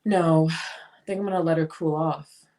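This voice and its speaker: A woman's voice: low voice, steady tone, normal speed, warm tone. A woman playing a blunt, free-spirited, opinionated sister in a dramatic play reading. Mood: exasperated. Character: blunt, free-spirited sister pushing self-liberation on her sibling.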